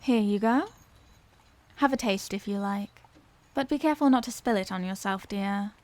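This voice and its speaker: A woman's voice: medium pitch, moderate pace, darkish tone, natural voice. A woman playing a refined, courteous Victorian vampire lady in an audio roleplay, speaking in formal, old-fashioned phrases. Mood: courteous, caring. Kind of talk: ASMR audio roleplay, scripted character acting.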